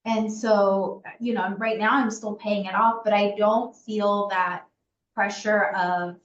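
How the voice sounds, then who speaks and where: A woman in her thirties speaking in a relaxed, reflective way, using plenty of fillers. The sound is a bit dull and carries a roomy echo. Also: mid-range voice, slow, a little hiss or hum. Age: 30s. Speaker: client service assistant. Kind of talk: casual interview answers.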